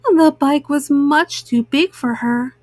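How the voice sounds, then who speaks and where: Slow, high-pitched woman storyteller. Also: a little mellow, no room sound. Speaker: children's storyteller. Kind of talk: expressive scripted story reading for children.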